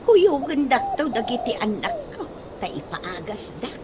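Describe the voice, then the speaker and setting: A trusting, gentle blind friend from a radio drama acted by a woman. Her voice is very high and lilting, with slow, drawn-out phrases. There is clear noise behind her. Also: huge pitch swings. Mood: hopeful, confiding. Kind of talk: Ilocano radio comedy drama acting.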